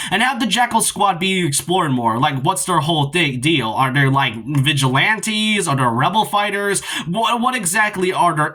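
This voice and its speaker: Animated, bright-voiced man giving casual commentary. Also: high voice, breathy edge. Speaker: video essay host. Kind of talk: casual opinion commentary on a video game.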